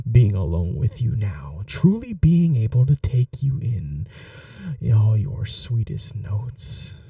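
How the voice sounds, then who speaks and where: A man voicing an ancient, cunning male vampire lord in a whispered ASMR roleplay, courtly and seductive, with a husky, half-whispered voice. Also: mid-range voice, dark tone, dry recording, clean studio sound, distant mic, exaggerated voice. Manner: courtly, slow and seductive, turning menacing.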